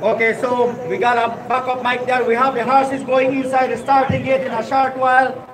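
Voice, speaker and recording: High-pitched man calling a horse race. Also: normal speed, crowd noise, rough recording. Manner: excitable, rising to shouts at the finish. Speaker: race announcer. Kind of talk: live horse race commentary. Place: outdoor race track stand. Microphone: handheld mic held close.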